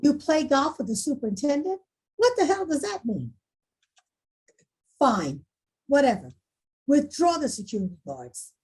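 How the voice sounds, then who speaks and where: A woman playing an exasperated, defensive school principal in a table read, questioning forcefully. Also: sits high, big pitch swings, unhurried, long pauses. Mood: indignant. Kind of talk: screenplay table read acting.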